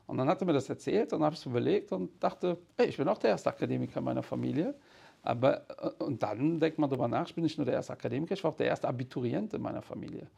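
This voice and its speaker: A man's voice: mid-range voice, fast, gravelly, warm tone, husky. A man, telling stories casually and candidly in colloquial language. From Cologne, Germany. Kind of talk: casual conversational storytelling.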